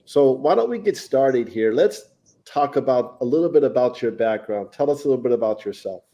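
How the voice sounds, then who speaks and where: Mellow-voiced, enthusiastic podcast host, a man. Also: medium pitch, expressive, brisk. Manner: enthusiastic, affirming. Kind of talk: conversational interview.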